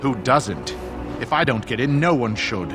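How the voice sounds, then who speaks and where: An actor playing a haughty, demanding royal messenger in a video game, pompous and indignant. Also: male voice, medium pitch, animated, measured pace, over music, close to an everyday voice.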